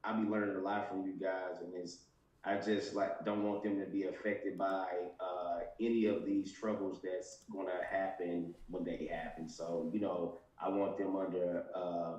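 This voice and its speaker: A man's voice: sits low, flat delivery, moderate pace, a little mellow, very echoey, distant mic, muffled recording. A man calling in to a church livestream, speaking hesitantly and informally. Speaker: caller. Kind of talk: casual call-in.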